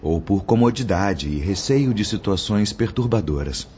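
An actor playing a solemn male spirit teacher in a radio drama, with a Brazilian accent and a reverent, measured, declamatory delivery. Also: lowish voice, lively intonation, a little mellow, slight background noise, natural voice. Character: Brother Clemente, solemn male spirit teacher instructing pupils. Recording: dull lo-fi audio under music.

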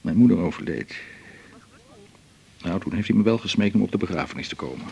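An actor playing a melancholy man in his forties in a radio drama, with a gravelly, husky voice, confiding in a halting, reflective way. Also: sits low, animated, darkish tone, muffled recording, slight background noise. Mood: pained remembering. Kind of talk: naturalistic radio drama dialogue.

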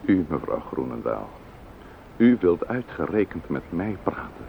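An actor playing a grieving, indignant widowed neighbour in a radio play, formal and cutting, with a Netherlands Dutch accent. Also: male voice, very deep voice, big pitch swings, unhurried, vocal fry, exaggerated voice. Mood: bitter, indignant. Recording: dull, noisy old radio play recording.

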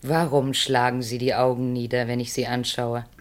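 An actress playing a commanding, fatalistic seductress in a solemn 1960s German radio play, speaking with deliberate, incantatory phrasing. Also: female voice, extremely low pitch, flat delivery. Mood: seductive, commanding. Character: commanding, fatalistic seductress demanding her husband's death.

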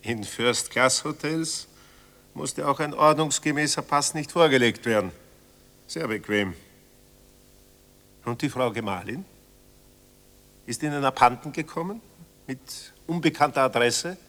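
Pedantic official for radio play; medium-pitched, unhurried, superior, exaggeratedly polite. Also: male voice, animated, fairly bright tone. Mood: mock-polite. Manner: mock-polite, officious, deliberate. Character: pedantic, coolly condescending male bureaucrat. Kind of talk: radio drama acting.

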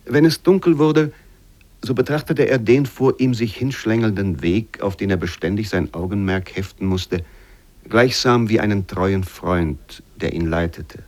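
A man reading literary narration for a radio play in a measured, carefully phrased storytelling manner. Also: fairly low pitch, darkish tone.

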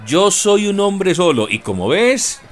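An actor playing a middle-aged, greying Colombian landowner with a paisa accent, flirtatious, teasing and easygoing, in a radio drama. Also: male voice, sits high, sing-song, measured pace, soft background music. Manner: coaxing, teasing.

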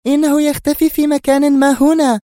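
A man voicing a nervous, sharp-tongued woman spy in an audiobook, speaking in a high, strained and urgent voice. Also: flat delivery, fairly quick, no echo, studio quality. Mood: agitated. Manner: urgent, agitated. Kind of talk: audiobook character reading.

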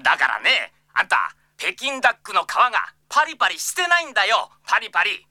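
A man voicing a pushy, irate tour customer who badgers in a gruff, rough way, in an audio drama. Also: sits high, huge pitch swings, fairly quick, pushed and bright, no room sound, studio quality, heavily acted. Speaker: complaining tour customer on the phone.

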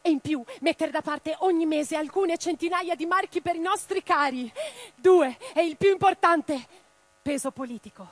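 A woman's voice: very high voice, moderate pace, no echo. An actress playing an impassioned, reflective female socialist revolutionary in a theatrical radio drama monologue, with a dramatic, declamatory delivery. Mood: excited. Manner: declamatory, dramatic, swinging from hushed to forceful.

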